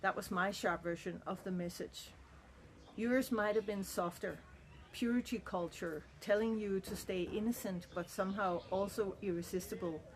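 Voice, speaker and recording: A woman's voice: mid-range voice, slight background noise, low-quality recording. A middle-aged woman from Denmark, speaking in a candid, earnest and reflective personal monologue. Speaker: vlogger.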